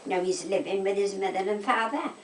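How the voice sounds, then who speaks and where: A woman's voice: mid-range voice, a little fast. Echoey, distant Welsh Valleys woman, chatting. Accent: South Wales Valleys. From south Wales. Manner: animated anecdotes, quoting conversations, checking with "right?". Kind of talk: casual chat, gossipy storytelling. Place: indoors at home. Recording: echoey room, distant microphone.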